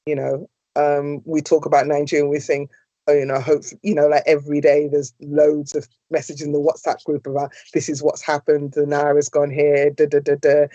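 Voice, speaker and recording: Middle-aged woman, former councillor, earnest, mellow voice, dry sound. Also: sits low, dry recording, dull audio. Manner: earnest, talks in long flowing sentences with "you know". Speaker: interview guest, former councillor. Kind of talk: reflective interview answers.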